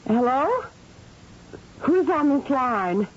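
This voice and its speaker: An actress playing an anxious but game young wife in danger in a 1970s radio drama. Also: female voice, high voice, very animated, very slow, exaggerated voice. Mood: shaken, curious. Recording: muffled, noisy 1970s radio recording.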